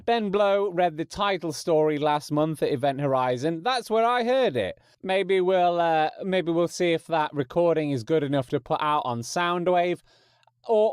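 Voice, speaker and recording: A man's voice: fairly high pitch, animated, normal speed, a little mellow, smooth, dry recording, clean studio sound. A man hosting a podcast, giving informal announcements in a chatty, rambling, wry and self-mocking way. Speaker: podcast host.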